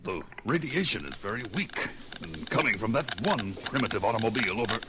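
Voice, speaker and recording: A man's voice: fairly low pitch, sing-song, brisk, heavy background noise. A man playing a proud, stern alien policeman with superpowers in a superhero cartoon, formal and melodramatic. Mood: haughty.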